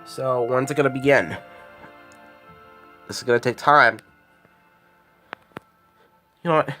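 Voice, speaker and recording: A man's voice: mid-range voice, steady tone, slow, sharp tone, faint music behind. A man giving casual, off-the-cuff commentary on a children's read-along in a rambling way.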